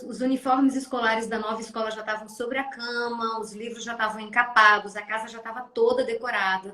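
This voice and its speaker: A Brazilian woman in her fifties, a therapist telling her life story in an interview, lively and expressive, often tagging phrases with a questioning aside. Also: a little high. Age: 50s. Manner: animated, acts out quoted voices, frequent "né?". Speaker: guest, family constellation therapist. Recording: echoey room.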